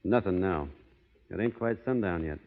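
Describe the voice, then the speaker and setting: A man's voice: sits low, expressive, normal speed. An actor playing a stoic, honor-bound American cowboy foreman in a radio western, plain-spoken and restrained. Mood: grave, resolute. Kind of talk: 1940s radio western acting. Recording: muffled vintage radio broadcast, hall reverb.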